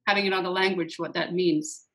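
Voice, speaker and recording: A woman's voice: fairly low pitch, fairly even intonation, brisk, a bit bright, poor audio. A woman interviewer whose first language is Latvian, asking questions and offering short supportive responses. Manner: brief encouraging interjections, supportive. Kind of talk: conversational interview.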